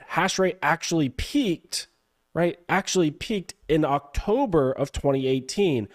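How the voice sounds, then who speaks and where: A man with a raspy, cold-roughened voice, explaining crypto market analysis step by step as a guest analyst. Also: mid-range voice, darkish tone. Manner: measured, explains step by step, asks himself questions. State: hoarse from a cold.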